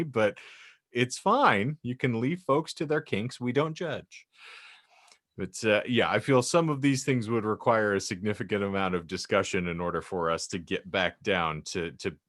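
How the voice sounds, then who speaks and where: A man with a fairly low voice chats as a podcast co-host. He takes the long way through his points, wordy and joking as he goes. The sound is close and dry. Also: fairly bright tone, dry recording. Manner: jokey, wordy, meandering. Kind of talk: casual group banter.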